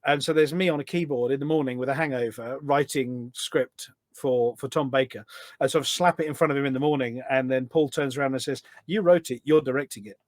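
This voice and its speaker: A man's voice: mid-range voice, a little fast, dry recording. A British man telling anecdotes in a casual, enthusiastic, rambling way as a podcast guest. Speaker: podcast guest, voice pack developer. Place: small studio.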